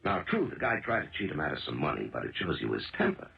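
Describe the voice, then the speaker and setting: An American actor playing a weary, gruff police detective husband in a 1970s radio drama, speaking in brusque, emphatic, colloquial phrases. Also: male voice, very deep voice, huge pitch swings, fast talker, full character voice. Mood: matter-of-fact. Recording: dull lo-fi old radio broadcast.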